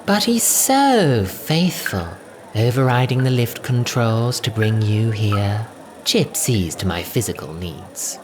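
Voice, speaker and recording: A man's voice: mid-range voice, very animated, slow pace. A man voicing a posh, condescending female villain of stretched skin, with an overly sweet, witchy tone, in an audio story. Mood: sighing, haughty. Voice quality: excessively sweet, witchy tones.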